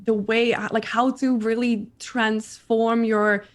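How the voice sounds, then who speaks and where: A woman in her thirties from the Netherlands with a Dutch accent, chatting as an interview guest, lively and repeating her words. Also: on the high side, takes it slowly. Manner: animated, repeats words, laughs often. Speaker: guest streamer. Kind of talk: casual interview chat.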